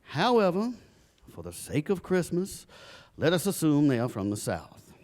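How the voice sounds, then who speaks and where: A man's voice: fairly high pitch, huge pitch swings, moderate pace, darkish tone. An actor playing a flustered, dignified father in a live stage drama, with a formal, pompous, hesitant manner. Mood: pompous, reasoning. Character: flustered, dignified father improvising answers for his children. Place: recreation center stage, live performance.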